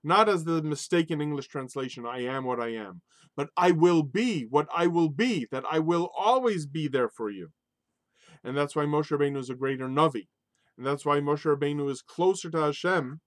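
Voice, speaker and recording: High-voiced man teaching a Torah lesson. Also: normal speed, no room sound, clean studio sound. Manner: animated, emphatic, rises sharply on key points; weaves Hebrew and Yiddish religious terms into English.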